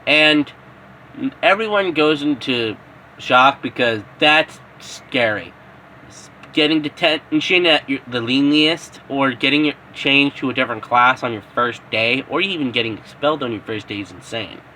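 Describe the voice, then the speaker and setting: A man's voice: medium pitch, moderate pace, sharp tone, a little hiss or hum, poor audio. A man narrating improvised fan fiction, stumbling and repeating words as he goes. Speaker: storyteller.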